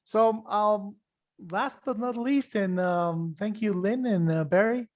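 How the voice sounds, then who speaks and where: A man from Ecuador presents travel options over a video call. His voice sits a little high, and he pauses often with uh fillers. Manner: halting, many uh fillers. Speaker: tour company representative. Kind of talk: webinar presentation.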